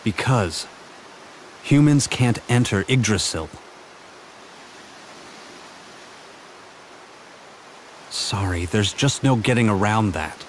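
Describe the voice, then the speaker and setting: An actor playing a brash, determined young warrior in a fantasy video game, with a blunt, casual, emotive way of talking. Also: male voice, on the low side, expressive, unhurried, a little hiss or hum. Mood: firm, regretful. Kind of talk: video game cutscene voice acting.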